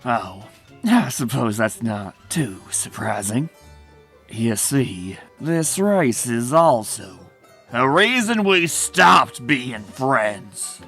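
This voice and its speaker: A bitter, proud male pony racer from a cartoon, voiced by a man. He is theatrical and folksy, with highish, very lively pitch and a creaky edge. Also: very slow, soft background music, heavily acted. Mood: smug, nostalgic. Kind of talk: comic dub character acting.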